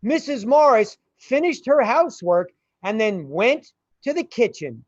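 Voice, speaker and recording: A woman narrates a story with unhurried pacing and animated intonation. The recording is dry and slightly dull. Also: on the high side, no echo.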